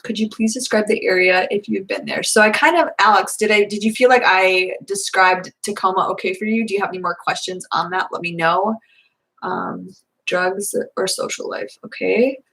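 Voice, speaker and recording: A young woman talks her way casually through viewer questions at home, her voice a little hoarse. She rambles and restarts, filling gaps with "um" and "like." Her pitch sits in a plain middle range. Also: steady tone, normal speed, a bit bright, poor audio. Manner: chatty, rambling with many fillers. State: slightly hoarse. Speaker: vlogger answering viewer questions. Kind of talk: casual live Q&A chat.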